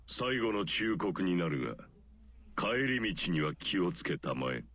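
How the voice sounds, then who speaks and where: An actor playing a knowing, gravely taunting priest in a visual novel game, with solemn, oracular menace. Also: male voice, low voice, very animated, brisk, a bit bright, no room sound, full character voice. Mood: ominous warning. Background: game music.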